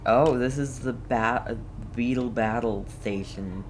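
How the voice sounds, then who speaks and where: A woman's voice: extremely low pitch, measured pace, background noise. A woman giving casual live commentary as she plays a game as a streamer.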